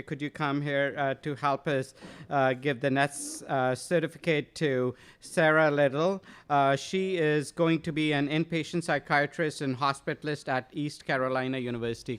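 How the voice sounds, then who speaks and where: A man's voice: on the high side, a bit bright, smooth. A man acting as emcee at a residency graduation ceremony, announcing graduates with lots of fillers and restarts. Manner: hesitant, many fillers. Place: large room with an audience.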